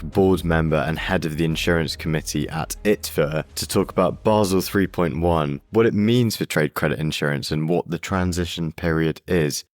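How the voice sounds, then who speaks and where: A man, the editor and regular host, interviewing a guest at a trade conference in a brisk, upbeat way. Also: low-pitched.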